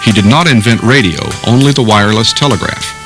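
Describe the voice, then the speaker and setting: A man's voice: lowish voice, a little fast, over music. A man reading scripted documentary narration.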